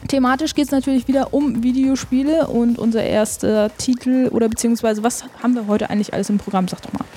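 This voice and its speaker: A woman's voice: a little high, steady tone, fast, mellow. A woman hosting a casual radio show about game music, chatty, with many filler sounds, while she has a cold.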